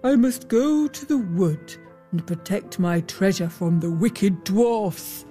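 A woman voicing a gentle, solemn male bear in a fairy tale, speaking gravely and deliberately. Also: mid-range voice, animated, soft background music. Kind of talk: storybook character voice.

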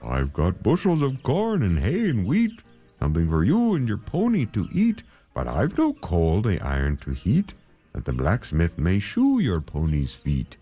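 A man's voice: sits low, wildly swooping pitch, a little slow, dark tone, faint music behind, full character voice. A man voicing a cheerfully boastful but unhelpful farmer in a children's storybook, in sing-song rhyme. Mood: boastful refusal.

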